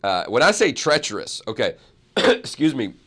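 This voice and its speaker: A man, hoarse from a cold, hosting a talk show and rambling through asides and self-corrections. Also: mid-range voice, very animated, normal speed, fairly bright tone. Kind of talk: casual talk show chat and monologue.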